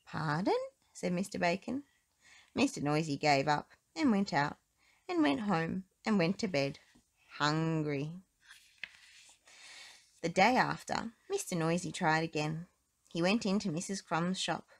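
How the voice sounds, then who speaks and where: A woman reading a children's story aloud as narrator, in an expressive, deliberate storytelling manner. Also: on the low side, creaky. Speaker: storybook reader.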